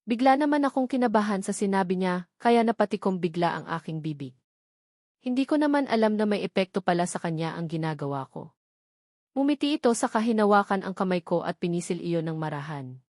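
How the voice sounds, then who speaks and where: A woman's voice: medium pitch, animated, brisk, a little mellow, dry recording, clean studio sound. A woman with a Filipino accent reading a first-person romance novel as a scripted narration. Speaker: audiobook narrator.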